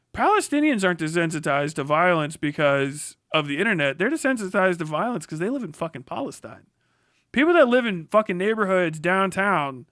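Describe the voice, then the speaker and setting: American male livestream commentator, higher-pitched voice, dry close mic, combative and foul-mouthed. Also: fairly bright tone, dry recording. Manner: profane, blunt and combative. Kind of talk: unscripted political reaction rant on a livestream.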